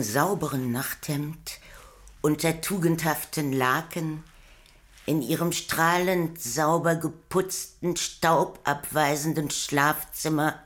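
Slow, low-voiced woman narrator. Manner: deliberate, storytelling. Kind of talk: scripted radio play narration, literary.

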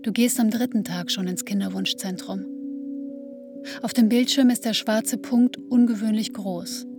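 An actress playing an introspective woman in her thirties, narrating a literary radio play in a measured, reflective way. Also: mid-range voice, steady tone, lightly acted. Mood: reflective, tense. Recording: music under the voice. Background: musical instruments.

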